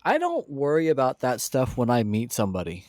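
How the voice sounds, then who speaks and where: A man's voice: mid-range voice, huge pitch swings, fairly quick, darkish tone, dry recording. An American man co-hosting a podcast, rambling casually with lots of fillers and restarts. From United States. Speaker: podcast co-host.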